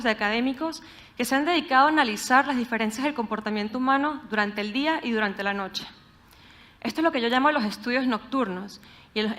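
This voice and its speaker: A young woman from Caracas with a Venezuelan accent, giving an earnest, measured prepared talk and asking the audience rhetorical questions. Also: on the high side, a bit bright. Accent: Venezuelan Spanish, Caracas.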